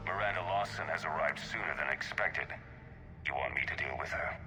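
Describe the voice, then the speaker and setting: An extremely deep, hard-edged male assassin in a video game, ringing with long reverb. He is cold and obedient, speaking in clipped lines to his superior. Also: brisk, pushed and bright, exaggerated voice. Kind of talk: dramatic game dialogue. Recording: long reverb with faint music.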